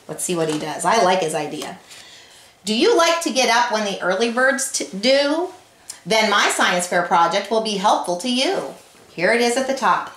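A middle-aged woman reads a picture book to children in a very lively, swooping voice. She explains as she goes in an expressive, instructive way. The sound is roomy, as from a camera in a home kitchen. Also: medium pitch. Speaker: storyteller reading a picture book aloud. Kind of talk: children's read-aloud with explanatory asides. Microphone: camera a short distance away.

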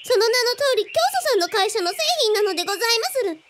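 A woman's voice: extremely high pitch, racing, very noisy. A woman playing an earnest genius little girl who speaks in archaic, ultra-polite formal phrases in a radio drama. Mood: politely explaining.

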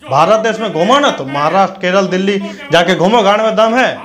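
Guy in his 30s, high voice, sweary scornful political rant into a lapel mic. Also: brisk, a bit bright. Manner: scornful, abusive, confrontational. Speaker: news commentator. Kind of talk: combative political rant to camera.

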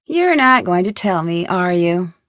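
A woman's voice: lowish voice, very animated, a little slow, a bit bright, no echo. A woman voicing a curious, probing young woman detective in a video game, asking question after question with a dry wit. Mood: suspicious. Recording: dry studio recording.

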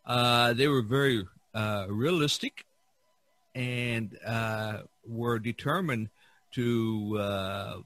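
A man hosting a casual podcast, talking in a halting way with broken phrases. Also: medium pitch, fairly bright tone, dry recording. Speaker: podcast host.

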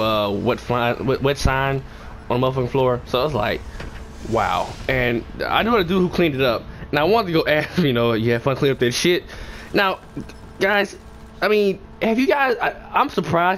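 A man's voice: mid-range voice, fairly bright tone. Young male gaming storyteller, mid-range voice, loose sweary delivery, emphatic when indignant, recorded with noticeable background noise. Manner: profane, slangy, rambling, emphatic when indignant. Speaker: gaming video creator telling a story. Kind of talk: casual storytime over gameplay. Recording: clear background noise and ambience.

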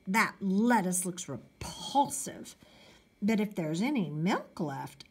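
A woman's voice: mid-range voice, big pitch swings, slow pace, husky, heavily acted. A woman voicing a sly, self-serving male house cat who mutters with disdain in a storybook. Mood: disdainful muttering.

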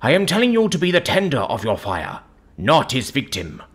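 A man playing a calm, devout male counsellor in an audiobook story, speaking in a measured, earnest, gentle but firm way. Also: mid-range voice, slightly rough, pitched above the performer's own voice, exaggerated voice. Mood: calm, advising. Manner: measured, earnest, preaching in metaphors.

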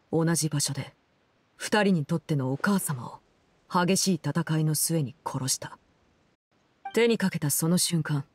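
An actress playing a cold, commanding woman in an anime game, speaking in a measured, formal, detached way. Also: fairly low pitch, a little mellow, no room sound. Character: cold, composed, commanding female Fatui Harbinger in her prime. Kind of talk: Japanese anime game voice acting, solemn storytelling.

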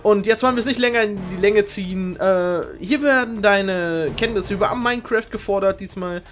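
A man's voice: sits high, normal speed, faint music behind. A man hosting a game show with a playful, joking, theatrical and exclamatory delivery.

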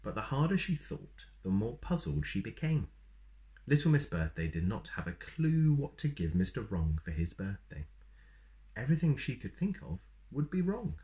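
A man in his thirties reading a children's story aloud in an expressive, playful way. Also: mid-range voice, moderate pace. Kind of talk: animated read-aloud with asides to young listeners. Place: small plain room indoors.

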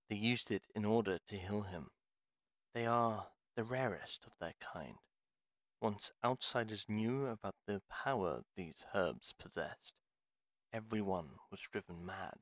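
A man playing a gruff, wary retired pharmacist in a fantasy audio roleplay, speaking in a formal, deliberate, theatrical way. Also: fairly low pitch, no echo. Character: gruff, reluctant retired male pharmacist, courteous but wary. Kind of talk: dramatic audio roleplay monologue.

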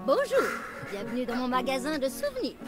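Brisk female cartoon rabbit shopkeeper, high, extremely animated, emphatic. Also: faint music behind. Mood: brisk, welcoming. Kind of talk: children's cartoon game acting.

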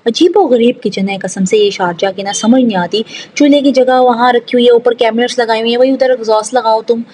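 A woman's voice: on the high side, steady tone, brisk, a little mellow. A woman from South Asia doing a casual, chatty vlog voice-over in a rambling, conversational way with repeated filler phrases. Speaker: home vlogger.

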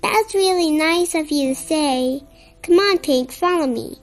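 Very high, slow-reading child's voice. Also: soft background music. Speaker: storybook reader. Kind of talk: children's picture book read-aloud.

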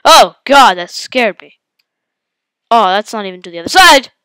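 A congested woman hosting her own gaming channel talks through a match as she plays. She yelps and exclaims at every turn in a fairly high, bright, forceful voice. Her voice is stuffy from a head cold, and the sound is dry and close. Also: moderate pace, clean tone, no room sound. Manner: excitable, yelps and exclaims at the game. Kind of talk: live gameplay commentary.

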